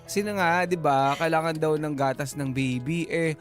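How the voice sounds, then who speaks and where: A woman's voice: deep voice, normal speed. A woman with a Filipino accent co-hosting a radio talk show in casual, conversational banter with a joking streak. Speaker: radio co-host. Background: music.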